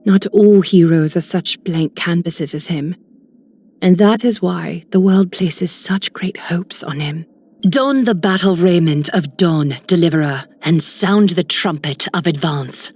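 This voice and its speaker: A woman playing a regal, composed noblewoman in a video game, with breathy, stately and poetic delivery. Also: fairly low pitch, measured pace, a little mellow. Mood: solemn encouragement. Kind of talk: scripted game character voicelines.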